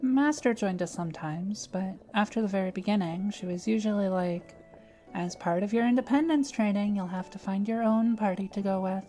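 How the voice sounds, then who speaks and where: A woman playing a gentle, patient young alchemist who explains things calmly and matter-of-factly in a fantasy audio drama. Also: medium pitch, normal speed, soft background music, lightly acted. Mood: explaining, friendly. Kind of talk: fantasy audio roleplay acting.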